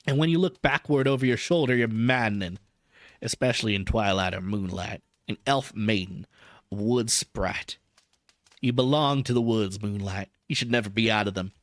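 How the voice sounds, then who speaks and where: Romantic male lead for a storybook read by a man: admiring, tender, wooing, dropping softly in pitch, dry sound. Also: normal speed, no room sound, lightly acted. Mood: wooing, hushed.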